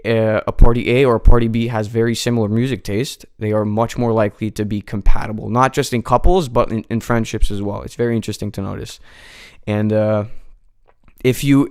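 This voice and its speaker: A man's voice: lowish voice, fairly even intonation, a little fast, clear, resonant tone. A nineteen-year-old man from the United States, a guest chatting casually in a radio studio, with lots of fillers and restarts. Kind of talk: casual interview chat.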